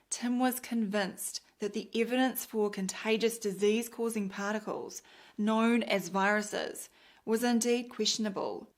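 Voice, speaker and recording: A woman with a New Zealand accent, a doctor narrating her own nonfiction audiobook in a measured, deliberate reading style. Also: medium pitch.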